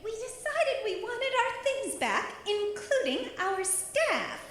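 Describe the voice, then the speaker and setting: Haughty aristocratic villain: slow, grandiose, wildly animated, echoing cave acoustic. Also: female voice, extremely high pitch, full character voice. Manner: grandiose, melodramatic. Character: haughty, theatrical villainous aristocrat, melodramatically outraged. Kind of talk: audio drama acting. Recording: echoey cave-like reverb, faint noise.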